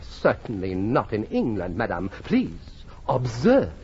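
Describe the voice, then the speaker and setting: An actor playing a vain, pompous English gentleman in florid, theatrical 1940s radio style, on a muffled old recording. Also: fairly low pitch, huge pitch swings, measured pace, slight background noise. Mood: gallant. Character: vain, pompous English gentleman boasting of his enormous nose. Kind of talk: 1940s radio acting, comic first-person narration.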